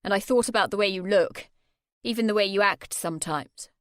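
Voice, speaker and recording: A woman voicing an earnest young man who speaks gravely and steadily. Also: medium pitch, brisk, a bit bright, dry recording, clean studio sound, natural voice.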